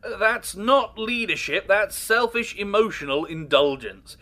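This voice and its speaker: An actor playing a self-righteous, mutinous officer in an audio drama, arguing insistently. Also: male voice, high voice, measured pace, bright, forceful tone, exaggerated voice. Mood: pleading, indignant. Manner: insistent, argumentative. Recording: faint background noise and ambience.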